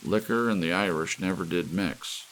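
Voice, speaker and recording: A man's voice: sits low, moderate pace, fairly bright tone, slight background noise, poor audio. A man voicing an intense, urgent scientist who gives advice and orders in an audiobook story. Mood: warm advice. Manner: urgent, advising. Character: John Erickson, intense male scientist with thinning hair.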